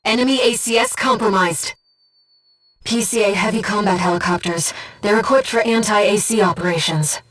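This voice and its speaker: A woman voicing a gentle, earnest disembodied female companion in a video game, speaking formally and with measured composure as mission support. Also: mid-range voice, recorded from a distance, faint music behind, close to an everyday voice, rough recording. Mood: composed, informative. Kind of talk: scripted video game voice acting, mission briefing.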